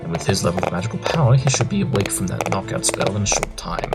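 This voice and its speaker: A man playing a pompous, clever pony detective in a cartoon fan drama, brisk and sure of himself. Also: deep voice, sing-song. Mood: deducing. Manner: pompous, brisk, self-assured. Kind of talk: animated fan drama acting. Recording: music under the voice.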